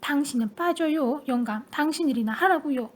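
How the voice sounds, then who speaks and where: A woman's voice: sits high, steady tone, moderate pace, warm tone, natural voice. A woman doing a snappish, bossy old grandmother. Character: cranky demanding old grandmother.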